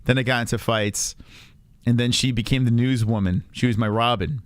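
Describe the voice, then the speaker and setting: A man's voice: mid-range voice, fairly even intonation, normal speed, no echo. A man in his forties, co-hosting a casual podcast chat, rambling and laughing with mild profanity. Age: 40s. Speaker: podcast co-host.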